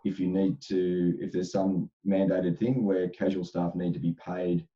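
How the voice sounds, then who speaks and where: Deep, dark-voiced man with a crackly throat. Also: level tone, unhurried, highs cut off. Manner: thoughtful, repetitive restarts. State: slightly crackly voice. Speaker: HR expert panelist. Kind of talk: casual panel discussion.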